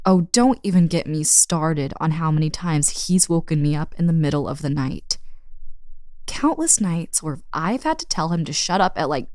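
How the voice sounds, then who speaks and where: A woman's voice: on the low side, warm tone, no room sound, studio quality. A woman playing a friend's friendly, teasing older sister in a casual, intimate roleplay audio drama. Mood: amused exasperation.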